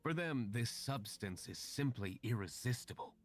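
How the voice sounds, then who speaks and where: An actor playing a courteous, aloof young winery owner in a video game, speaking in a formal, measured, dry way. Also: male voice, mid-range voice, animated, lightly acted. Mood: dry, explanatory.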